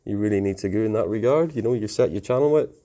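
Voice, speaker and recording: A man's voice: lowish voice, fast, dark tone. A man giving a casual technical tutorial in an informal, plain-spoken, conversational way. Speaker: technical presenter explaining network setup.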